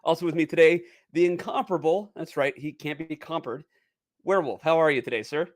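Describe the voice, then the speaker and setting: Playfully grandiose higher-voiced male podcast host. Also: brisk. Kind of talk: casual banter, hosting a gaming podcast.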